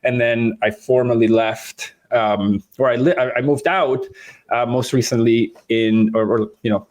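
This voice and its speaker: A man in his thirties, born and raised in Venezuela, talking as a podcast guest. His pitch sits in an ordinary middle range. He speaks thoughtfully, with frequent fillers and restarts as he works through his points. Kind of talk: casual interview talk.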